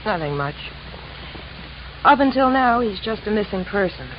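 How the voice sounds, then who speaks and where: An actress playing a brassy, blasé young wife in a 1950s radio crime drama, offhand and curt. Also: female voice, mid-range voice, lively intonation, moderate pace, natural voice. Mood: cool, guarded. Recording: muffled vintage radio, sizzling noise.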